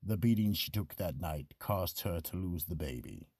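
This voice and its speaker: Male narrator for mystery radio: extremely low, husky, solemn, in a dry close studio sound. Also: expressive, moderate pace, darkish tone, dry recording. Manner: solemn, ominous storytelling. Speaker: narrator and host.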